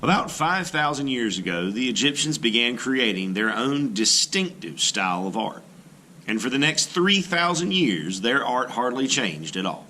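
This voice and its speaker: A man reading an art lesson aloud as a teacher, deliberate and emphatic, pausing between phrases and explaining as he goes. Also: mid-range voice, sing-song, bright, forceful tone, slight background noise, low-quality recording. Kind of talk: read-aloud lesson narration with brief explanatory asides.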